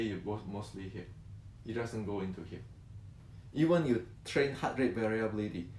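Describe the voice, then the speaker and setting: A man's voice: medium pitch, darkish tone. A man in his sixties explaining martial arts to viewers, often repeating words and answering his own questions. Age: 60s. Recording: roomy echo.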